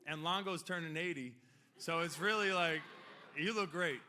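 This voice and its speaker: A man's voice: on the high side, normal speed, sharp tone, a little hiss or hum. A man of about forty, giving a rambling, joking and heartfelt acceptance speech at a podium microphone in a ceremony hall. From Long Island, New York. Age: 40s. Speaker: hall of fame inductee.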